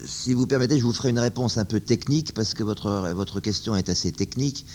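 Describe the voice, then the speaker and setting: Man, low voice, hesitant, many fillers, faint background hiss. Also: brisk, a little mellow. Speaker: author answering questions. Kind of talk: spoken philosophical discussion.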